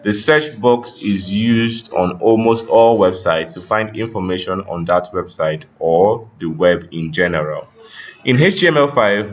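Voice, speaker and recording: A man giving a screen-share coding tutorial as a teacher, explaining step by step in a halting, instructional way. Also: lowish voice. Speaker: computer teacher. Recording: muffled with background noise.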